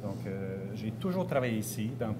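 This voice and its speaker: A middle-aged man from Ontario answering interview questions conversationally, with frequent pauses. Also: fairly low pitch, very animated. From Ontario, Canada. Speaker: engineer, entrepreneur and interview guest. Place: restaurant. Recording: clear background ambience noise.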